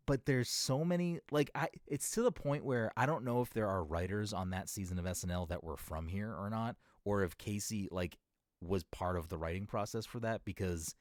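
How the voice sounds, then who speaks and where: Deep-voiced guy from mass, no real accent, goes off on tangents, crisp-ish diction, dry mic. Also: no echo. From Massachusetts, USA. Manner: rambling, tangents, careful enunciation. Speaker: podcast co-host. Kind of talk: casual chat.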